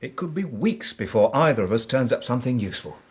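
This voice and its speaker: An English actor playing a dogged, weary police inspector in a radio drama, blunt and matter-of-fact with a grumbling edge. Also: male voice, medium pitch, lively intonation, fast talker, natural voice. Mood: dogged, smug. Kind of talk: British radio drama acting.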